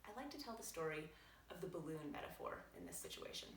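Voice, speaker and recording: A woman reading aloud as a doctor explaining things in a measured, articulate, explanatory way. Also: sits low, wildly swooping pitch, slight background noise. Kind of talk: read-aloud narration with brief asides. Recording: roomy echo, distant from mic.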